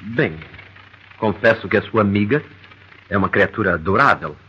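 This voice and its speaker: An actor playing a courteous, smitten doctor in an old Brazilian radio soap opera. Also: male voice, lowish voice, expressive, moderate pace, slight background noise, close to an everyday voice. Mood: polite, hesitant. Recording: dull vintage radio recording with music.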